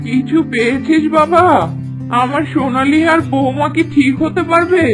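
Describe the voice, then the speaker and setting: A woman's voice: high-pitched, moderate pace, over music, close to an everyday voice. A woman playing a worried, hopeful mother in a cartoon, anxious and questioning. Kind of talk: cartoon story dubbing.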